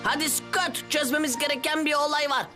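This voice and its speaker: A woman voicing an eager, naive young male police officer in a cartoon, excitable and hesitant when caught out. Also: sits high, brisk, lightly acted. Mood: curious. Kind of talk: animated cartoon dub acting. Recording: music behind the voice.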